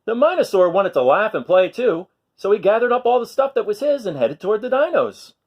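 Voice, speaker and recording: Male children's storyteller, very lively pitch, expressive read-aloud style, talks directly to kids with simple questions and explanations. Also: a little fast, highs cut off.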